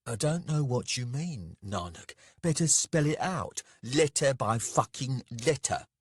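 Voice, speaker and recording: A man voicing a big, blunt, gruff male pit fighter in a story, provocative and mumbling. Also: mid-range voice, expressive, unhurried, dry recording, studio quality, pitched above the performer's own voice. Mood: defiant, taunting.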